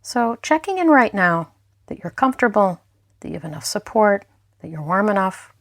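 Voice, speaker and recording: A woman mindfulness instructor narrating a guided meditation in a gentle, unhurried way, leaving pauses between phrases. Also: medium pitch, sing-song, no room sound, studio quality.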